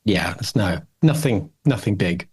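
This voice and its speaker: A man's voice: sits low, lively intonation, unhurried, a little mellow, husky. A middle-aged man talks as a podcast co-host in loose, casual conversation. He thinks aloud, hedging and circling around his points in a rambling, reflective manner. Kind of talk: casual chat.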